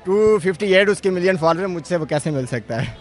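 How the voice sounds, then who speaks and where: A young man from Pakistan answers a night street interview with short, modest replies. He talks quickly in a slightly raised voice, with a crowd faint behind. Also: high-pitched, expressive. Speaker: fan interviewee. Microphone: handheld mic held by interviewer.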